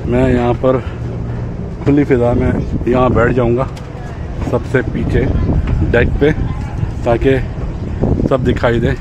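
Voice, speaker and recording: Male travel vlogger at mid pitch, chatty, pointing things out, clear outdoor noise behind. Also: little pitch movement, slow, pauses often. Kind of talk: casual travel vlog narration. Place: outdoors at a harbor and on a tour boat deck.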